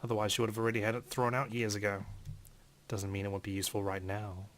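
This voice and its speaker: A man reading a visual novel aloud as theatrical first-person narration, in character as an indignant gentleman. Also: lowish voice, little pitch movement, quick pace. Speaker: let's player reading a game aloud.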